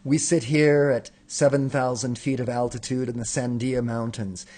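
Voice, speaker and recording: A man hosting a spiritual broadcast, speaking in a calm, reflective way and leading chanted affirmations. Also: mid-range voice, moderate pace. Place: indoor room with windows in the mountains.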